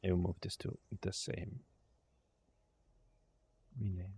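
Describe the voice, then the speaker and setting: A man's voice: sits low, completely flat delivery, slow pace, dark tone, breathy edge. A young man, a game developer thinking aloud on a casual livestream, muttering to himself, trailing off and swearing now and then. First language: Dutch. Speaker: indie game developer streaming.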